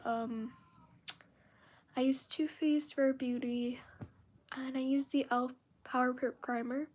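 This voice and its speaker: High-voiced young woman venting on a live stream. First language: Spanish. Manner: venting, emphatic, filler-heavy with frequent restarts. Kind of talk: casual live stream chat.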